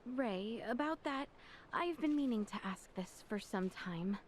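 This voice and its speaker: A woman's voice: a little high, expressive, measured pace, a little hiss or hum. An actress playing a composed, determined young noblewoman in a video game. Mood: earnest. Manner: earnest, composed. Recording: game cutscene with music behind.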